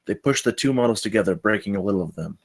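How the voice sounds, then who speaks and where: Table-read narrator: male, low register, reads screenplay action lines aloud. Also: animated, fast talker. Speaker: stage directions reader at a table read.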